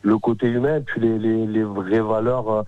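A man's voice: fairly low pitch, level tone, normal speed, dry recording, narrow, tinny audio. A man from southern France, a chef guest giving hesitant, informal answers in a radio interview. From Nîmes, southern France. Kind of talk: casual unscripted interview.

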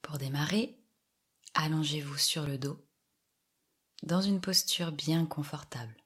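A woman guiding a yoga meditation with soft, soothing scripted instructions and long pauses. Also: sits low, slightly rough. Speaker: yoga nidra relaxation guide.

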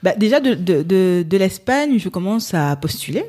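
A woman's voice: medium pitch, very animated, moderate pace, dark tone. A woman in her thirties from Gabon, a lawyer guest talking casually in an interview, often restarting her sentences. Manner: hesitant, many restarts.

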